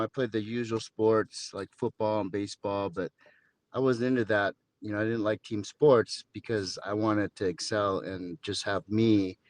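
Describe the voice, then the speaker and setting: A man in his fifties from Southern California, telling his story as a podcast guest with dry jokes and rambling asides. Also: on the low side. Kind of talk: casual interview talk.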